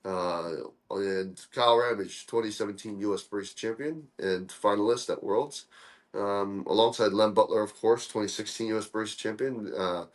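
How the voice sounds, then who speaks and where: A young man from Korea, a guest in a casual interview, talking in a relaxed, friendly way with lots of "uh" fillers. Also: fairly low pitch, moderate pace. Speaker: guest, coffee dripper co-founder.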